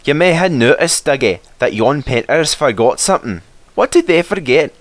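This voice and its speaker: A man's voice: on the high side, lively intonation, moderate pace, dry recording, pitched above the performer's own voice, natural voice. A man voicing a sly, cheeky Scottish steam engine in a storybook, with a chirpy, mock-innocent manner and a Scottish accent. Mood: conspiratorial.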